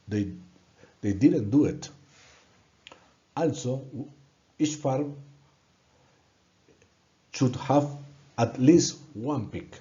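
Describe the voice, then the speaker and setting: A man from Colombia with a Colombian Spanish accent, a native Spanish speaker giving an earnest, halting presentation in English and repeating words when stuck. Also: mid-range voice, mellow, muffled recording. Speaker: student presenting a course project. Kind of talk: prepared presentation narration.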